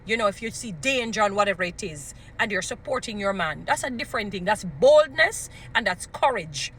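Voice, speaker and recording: A woman's voice: mid-range voice, animated, fairly quick, a bit bright, slight background noise, low-quality recording. A woman with a Jamaican accent and a strong voice, giving informal, emphatic advice in a preachy way, repeating short phrases for emphasis. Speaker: relationship advice speaker. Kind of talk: informal motivational advice talk.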